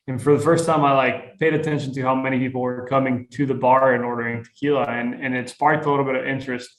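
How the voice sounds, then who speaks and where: A young man from Costa Rica telling his founding story as a guest, relaxed and rambling, with many fillers and restarts. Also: medium pitch, quick pace, reverberant, poor audio. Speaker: guest, tequila brand founder. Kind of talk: casual interview storytelling.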